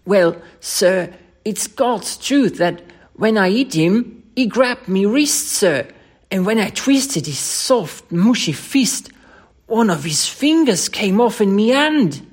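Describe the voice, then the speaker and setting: A reader voicing a young Cockney ex-soldier servant in an audiobook story, rough-spoken, emphatic and deferential. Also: medium pitch, expressive, takes it slowly, voice pushed up, exaggerated voice. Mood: horrified, earnest.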